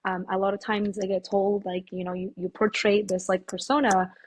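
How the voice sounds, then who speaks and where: A woman's voice: mid-range voice, level tone, normal speed, slightly rough. A woman from Mexico, a guest on a podcast, talking casually and emphatically about her life, with frequent fillers. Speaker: podcast guest, fitness apparel founder. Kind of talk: casual interview talk.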